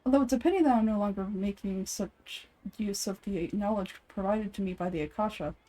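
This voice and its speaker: Woman voicing a mellow, earnest fantasy game townsperson. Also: mid-range voice, fairly quick, a little hiss or hum, lightly acted, rough recording. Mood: friendly, chatty. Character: cheerful, earnest townsperson shopkeeper in a fantasy city. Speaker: amateur voice actor. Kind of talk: fan voice-over of fantasy game dialogue.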